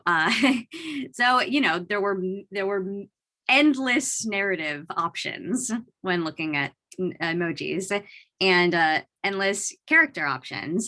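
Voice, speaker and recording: A woman's voice: medium pitch, bright, forceful tone. A woman in her thirties from Chicago, a musical theatre writer, talking eagerly and chattily on a video call. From Chicago, USA. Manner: eager, chatty, frequent "you know". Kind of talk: panel talk on a video call.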